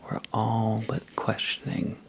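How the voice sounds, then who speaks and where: A man telling a sleepy ASMR bedtime story in a soft, breathy half-whisper, halting and drowsy, with long pauses. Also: fairly low pitch, completely flat delivery.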